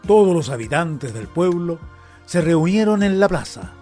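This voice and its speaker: A man's voice: on the high side, very animated, measured pace, dark tone, faint music behind. A man with a Chilean accent narrating a radio story in a dramatic, scripted style.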